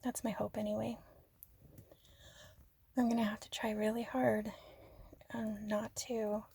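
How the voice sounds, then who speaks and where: A woman from Arizona chatting softly and rambling in a half-whispered ASMR style, joking about herself. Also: on the high side, little pitch movement, measured pace, vocal fry, slightly rough. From Arizona, USA. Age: adult. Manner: soft, half-whispered, self-deprecating, giggly. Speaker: ASMR creator. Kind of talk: soft-spoken rambling ASMR chat.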